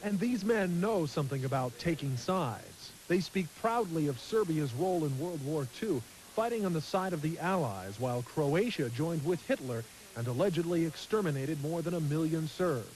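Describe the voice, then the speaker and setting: A male television reporter delivering a formal, measured news package. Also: fairly high pitch, expressive, a little mellow, a little hiss or hum, low-quality recording.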